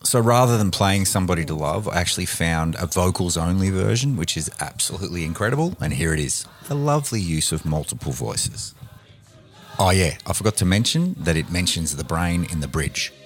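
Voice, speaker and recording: A man's voice: deep voice, lively intonation, fairly quick. A man hosting a podcast about songs, narrating in a dry, playful, casual way. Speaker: podcast host. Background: music.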